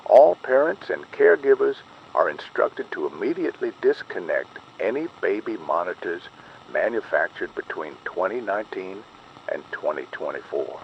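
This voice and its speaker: A man reading a scripted horror emergency advisory in a grave, deliberate, ominous official announcer style. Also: deep voice, big pitch swings, creaky, highs cut off, slight background noise. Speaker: narrator of an analog horror emergency broadcast.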